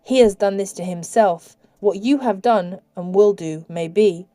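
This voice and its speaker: A woman voicing a calm, firm, fatherly male guardian creature in a storybook, giving measured warnings. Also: medium pitch, no room sound, studio quality, lightly acted. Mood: grave warning. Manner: soft-spoken, measured warnings.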